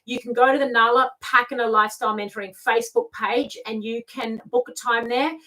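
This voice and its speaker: Bright-voiced middle-aged Australian woman, slightly distant. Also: a little high, steady tone, brisk. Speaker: tarot reader and spiritual mentor. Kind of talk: informal spoken guidance to camera. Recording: distant microphone.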